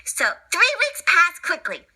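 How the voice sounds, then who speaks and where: A woman's voice: extremely high pitch, huge pitch swings, unhurried, bright, forceful tone. A woman reading a children's read-along story expressively, with informal asides and exclamations.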